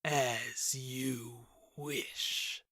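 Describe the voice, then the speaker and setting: Cruel goblin king, put on by a man, slow hissing drawl, sharp edged tone, storybook villain. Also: mid-range voice, steady tone, dry recording. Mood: menacing. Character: cruel hulking male goblin king, sneering.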